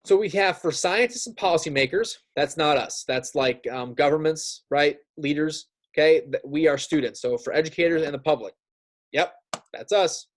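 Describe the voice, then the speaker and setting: A man's voice: fairly high pitch, normal speed. A man teaching young students in an informal read-aloud style, chatty and often checking in with them. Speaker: elementary school teacher. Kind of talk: read-aloud lesson with casual asides.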